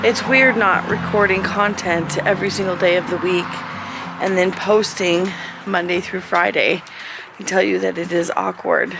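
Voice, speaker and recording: A woman homesteader chatting casually in a vlog outdoors on a cold farm, rambling, her voice a little hoarse. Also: on the low side, fairly even intonation, moderate pace, music in the background. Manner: chatty, rambling, talks to animals. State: slightly hoarse.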